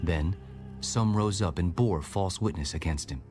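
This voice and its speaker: A man narrating a dramatized audio Bible in a solemn, measured storytelling style. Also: deep voice, darkish tone. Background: music.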